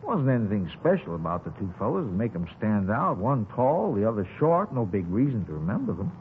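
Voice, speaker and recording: A man's voice: on the low side, big pitch swings, a little fast, narrow, tinny audio. A man playing a folksy, talkative eyewitness in a 1950s radio drama, rambling along in colloquial phrases. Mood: vague, unhurried. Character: folksy, talkative male eyewitness to a street shooting. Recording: scratchy, thin 1950s radio recording.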